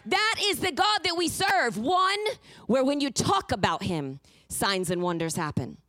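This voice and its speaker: A woman's voice: high voice, sing-song, measured pace, bright, forceful tone, no room sound. A woman preaching a fervent sermon, moving between emphatic declarations and a personal testimony told as a story. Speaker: guest preacher.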